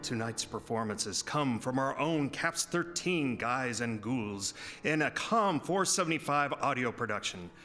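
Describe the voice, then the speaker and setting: Animated male radio announcer. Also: medium pitch. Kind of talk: theatrical scripted radio show announcing.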